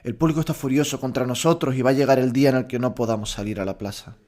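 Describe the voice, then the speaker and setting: Actor as a weary, resigned young Spanish bullfighter. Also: male voice, medium pitch, fairly even intonation, rapid-fire, a little mellow, natural voice. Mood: earnest, weary. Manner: earnest, resigned, confiding. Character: young star bullfighter, weary, dejected, earnest with his friend. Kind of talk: audio drama dialogue.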